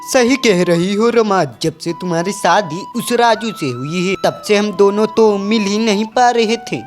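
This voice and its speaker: A woman's voice: mid-range voice, unhurried, a little mellow, natural voice. Cartoon accomplice: shifty young man, conspiratorial, voiced by a woman. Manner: furtive, conspiratorial. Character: young male secret lover, a villain's accomplice. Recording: music bed under the voice.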